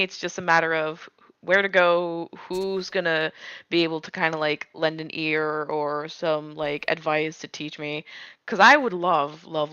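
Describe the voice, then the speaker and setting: Female panel guest, lowish and bright, casual, on a dry, muffled mic. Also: smooth, no room sound. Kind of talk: casual chat.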